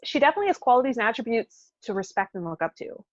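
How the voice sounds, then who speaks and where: A woman co-hosting a podcast, chatting casually in a measured, agreeable way. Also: fairly high pitch, very animated, no echo, highs cut off. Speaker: podcast co-host. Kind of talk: casual conversational chat.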